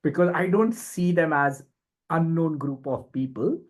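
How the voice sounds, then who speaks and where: A man's voice: on the high side, expressive, a little slow. A middle-aged man with an Indian accent explains things conversationally as a podcast guest, emphatically and with frequent short tag questions. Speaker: podcast guest, communication and leadership expert.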